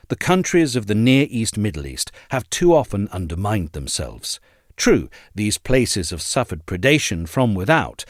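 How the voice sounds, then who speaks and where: A man reading a scripted essay as narrator, in a measured, articulate, formal manner. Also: on the low side, dry recording, studio quality.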